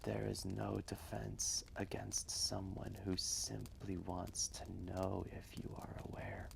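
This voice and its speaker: A man playing an ancient, wise and gentle dragon, telling a story in a hushed, breathy, measured voice with long pauses. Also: deep voice, flat delivery, background noise, exaggerated voice. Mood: reflective, solemn. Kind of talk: slow intimate storytelling roleplay, ASMR-like.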